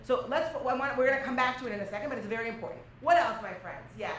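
A woman teaching a religious text class in an emphatic, interactive way, peppering her talk with quick check-in questions. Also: on the high side. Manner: animated, emphatic, peppers talk with "right?" and "okay?"; switches between English and Aramaic or Hebrew quotation. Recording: echoey room with clear background noise.

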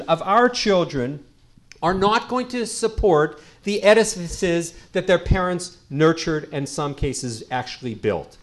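Middle-aged rabbi with a big loud voice giving a talk on a handheld mic, kinda high pitched, repeats his main points. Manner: loud voice, earnest, repeats key lines for emphasis. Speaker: rabbi and guest speaker. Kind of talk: lecture to a community audience. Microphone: handheld microphone.

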